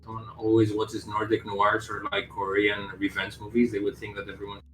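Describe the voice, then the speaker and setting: Low-voiced rambling man streaming. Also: monotone, a little fast, roomy sound, music in the background, rough recording. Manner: rambling, heavy on "like" fillers, casual swearing. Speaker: game developer streamer. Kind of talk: casual live-stream chat.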